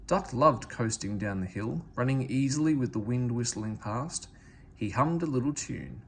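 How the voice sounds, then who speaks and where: Animated man reading a children's storybook. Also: medium pitch, moderate pace, vocal fry, a little hiss or hum. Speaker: storybook reader. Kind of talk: expressive scripted children's story narration.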